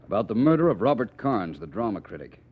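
A polished, dry private detective with a lowish voice that moves expressively. He questions politely but pointedly. The sound is a muffled 1940s radio broadcast. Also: sing-song, fast, husky. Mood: probing, pointed. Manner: polite, precise, dryly pointed. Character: suave, probing male private detective, politely persistent.

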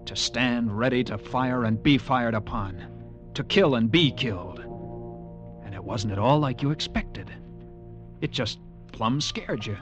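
An actor playing an earnest, uneasy young cavalry lieutenant, telling his story in measured, literary tones for a radio drama. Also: medium pitch, natural voice. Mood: tense, brooding. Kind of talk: dramatic first-person narration, radio drama acting. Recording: old radio broadcast, music behind.

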